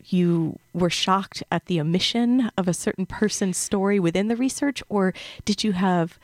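A woman interviewer asking hesitant, halting questions full of fillers. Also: medium pitch, a little mellow, dry recording. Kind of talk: interview conversation.

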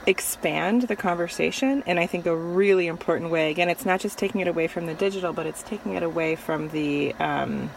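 A woman with a gravelly, creaky voice that sits somewhat low hosts a conversational interview. She asks encouraging questions. A faint background hiss is heard under her voice. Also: brisk, hardly any pauses, poor audio. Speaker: podcast host interviewer.

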